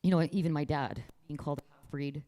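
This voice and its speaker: A woman's voice: deep voice, fairly even intonation, fast, warm tone. A woman artist from rural Manitoba talking casually about her work in an interview, outspoken and proud, with frequent restarts. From rural Manitoba, Canada.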